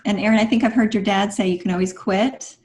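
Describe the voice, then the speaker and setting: A woman with a mid-pitched voice talks as a guest on a writing podcast. She sounds earnest and explanatory, in a conversational discussion style. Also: fairly even intonation, fast talker, a little mellow.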